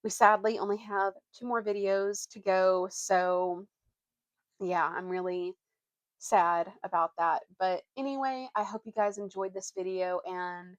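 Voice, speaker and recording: A woman's voice: mid-range voice, fairly even intonation, a little slow, dry recording, lo-fi sound. A young woman with an informal, youthful way of talking, reading a storybook aloud and chatting as a vlogger. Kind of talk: storybook narration and casual chat.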